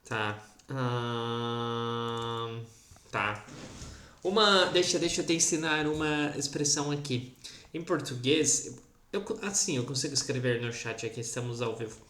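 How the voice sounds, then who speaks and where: Casual: podcast host, talks slow like a teacher, kinda lively, echoey room. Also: medium pitch. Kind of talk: podcast interview. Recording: roomy echo.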